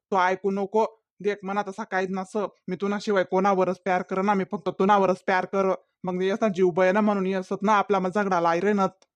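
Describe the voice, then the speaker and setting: A man's voice: high-pitched, dry recording, close to an everyday voice. A man voicing a defensive young village boyfriend in a comedy cartoon, pleading and making excuses in a Khandeshi Ahirani accent. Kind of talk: comedy cartoon dialogue in dialect.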